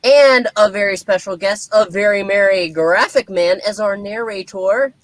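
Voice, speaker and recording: A woman with a rambling, excitable way of talking, hosting a puppet show in a chatty live-stream style. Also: medium pitch, moderate pace.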